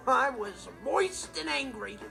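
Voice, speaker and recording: A man's voice: extremely high pitch, moderate pace, low-quality recording. A young male actor playing an earnest, responsible, easily exasperated teenage stepbrother, telling stories with emphatic comic timing in a TV sitcom. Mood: indignant storytelling. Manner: emphatic, theatrical comic delivery. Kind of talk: scripted comic monologue in a TV sitcom. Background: music and laughter.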